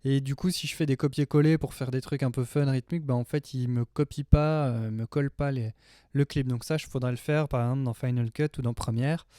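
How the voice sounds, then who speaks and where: A man's voice: medium pitch, steady tone, brisk, warm tone, no echo, studio quality. A man in his thirties giving a casual, chatty, slangy tutorial as a music production tutor. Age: 30s. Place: small home studio indoors.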